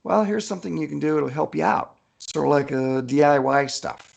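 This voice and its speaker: Man, heavy creak, rough husky voice, slightly high pitch, folksy casual storyteller. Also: moderate pace. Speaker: guest author.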